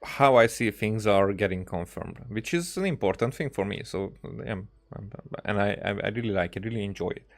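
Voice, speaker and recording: Halting, rambling male game streamer. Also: on the low side, creaky. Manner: halting, rambling, searching for words. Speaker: streamer playing a video game. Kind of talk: casual gameplay commentary.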